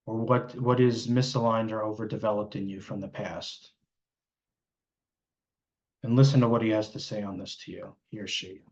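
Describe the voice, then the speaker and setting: A man with a fairly low voice leads a guided meditation on a live stream. He feels his way through the instructions, often pausing mid-phrase before carrying on. The sound is slightly muffled and lo-fi. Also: darkish tone.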